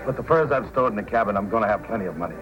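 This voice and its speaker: An actor playing a scheming, bossy fur thief in an old-time radio drama with commanding delivery. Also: male voice, lowish voice, expressive, rapid-fire, natural voice, low-quality recording. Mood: ardent, boastful. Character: scheming male fur thief and livery man, bossy, smitten. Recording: old radio recording with loud music.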